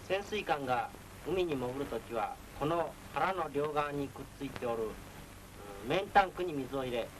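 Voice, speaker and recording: A man giving a technical military lecture as a naval instructor, measured, formal and authoritative. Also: mid-range voice. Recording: noisy 1940s film soundtrack.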